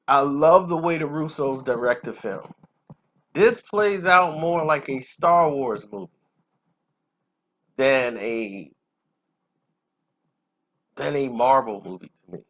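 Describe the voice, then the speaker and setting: A man reviewing a film casually in a self-assured, emphatic way, breaking his phrases up with dramatic pauses. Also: mid-range voice, fairly bright tone, highs cut off. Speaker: movie reviewer.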